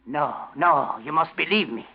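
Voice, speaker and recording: An actor playing an impatient, desperate fugitive naval commander in a 1940s radio drama, tense, curt and insistent. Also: male voice, fairly high pitch, normal speed, creaky, husky. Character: desperate, impatient male fugitive German naval commander under false name. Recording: dull 1940s radio recording.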